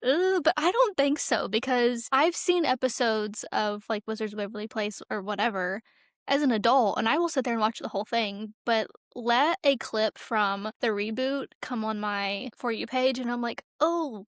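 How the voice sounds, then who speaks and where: A young woman with a fairly high voice co-hosts a casual pop culture podcast. She talks in animated, slangy opinions peppered with "like." The sound is very dry, with no room echo. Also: moderate pace. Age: young adult. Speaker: podcast co-host.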